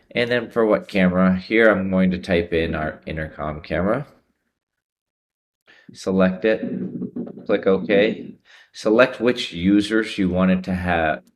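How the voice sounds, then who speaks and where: A man guides viewers through software. His delivery is informal and explanatory, taking things one step at a time as a tutorial presenter. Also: low-pitched.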